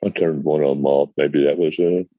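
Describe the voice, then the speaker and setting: A man with a deep voice talking on his phone mic in casual group chat, drawling and mildly profane. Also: fairly even intonation, normal speed, dry recording, narrow, tinny audio.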